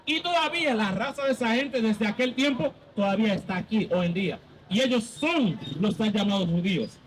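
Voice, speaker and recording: A man's voice: high voice, expressive, a little fast, a little hiss or hum, low-quality recording. A man leading a street Bible debate as a preacher, insistently quizzing and cutting in with questions.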